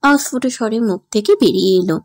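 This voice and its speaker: A woman's voice: mid-range voice, a little fast, dry recording. A woman narrating a scripted dramatic story as its storyteller.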